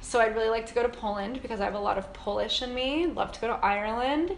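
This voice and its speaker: A woman's voice: fairly high pitch, very fast. An American woman chatting casually and informally, rambling and often saying "like". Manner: rambling, informal, heavy use of "like". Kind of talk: casual conversation about travel. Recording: roomy echo, faint background noise.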